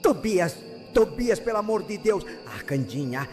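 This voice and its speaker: A man acts out a terrified country woman in a horror story. Her voice is high and swings widely as she pleads in urgent bursts, under loud music. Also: very animated, measured pace. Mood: frantic, pleading. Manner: urgent, broken exclamations. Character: frightened older rural woman, frantic, pleading.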